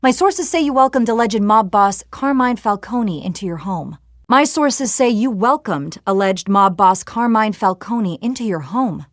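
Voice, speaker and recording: A woman's voice: mid-range voice, animated, measured pace, no room sound, studio quality, lightly acted. A woman playing a pushy, probing newspaper reporter in a video game, insistent and pointed. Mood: accusing.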